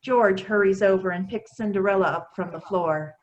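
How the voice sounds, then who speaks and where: A woman's voice: mid-range voice, moderate pace, highs cut off. A woman reading stage directions as scripted narration for a play.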